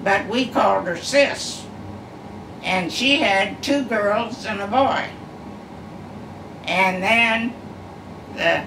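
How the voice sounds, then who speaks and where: An elderly woman in her nineties, sitting at home and slowly telling family stories with many pauses and uhs. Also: mid-range voice. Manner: slow, rambling storytelling with many uhs. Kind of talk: casual reminiscing. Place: living room at home, seated in armchair. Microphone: camera across the room. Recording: echoey room, far camera, background hum.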